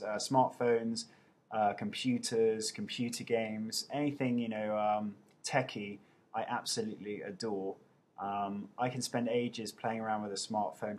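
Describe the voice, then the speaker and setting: A man chatting husky on webcam. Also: medium pitch. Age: 30s. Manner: playful and self-mocking, reading out questions. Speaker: actor answering viewer questions. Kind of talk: casual webcam Q&A chat. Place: indoors at home. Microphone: webcam mic.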